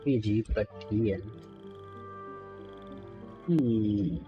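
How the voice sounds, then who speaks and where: A streamer reacts casually to gameplay at an unhurried pace. The voice sits at a medium pitch, with game music playing faintly behind it. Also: dull audio. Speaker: game livestreamer.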